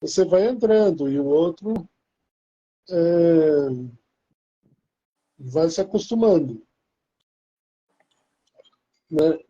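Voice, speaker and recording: A man's voice: fairly high pitch, animated, very slow, long pauses, mellow, highs cut off. A Brazilian man with a Brazilian Portuguese accent, chatting casually in a rambling way with many restarts. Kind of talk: casual chat.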